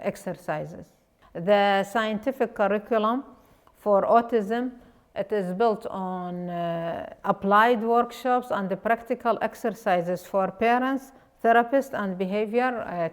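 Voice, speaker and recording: A woman with an Iraqi Arabic accent, an educator and life coach, telling her own story earnestly with many pauses and restarts. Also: mid-range voice. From Iraq. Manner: earnest, pausing often with fillers and restarts. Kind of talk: personal story talk.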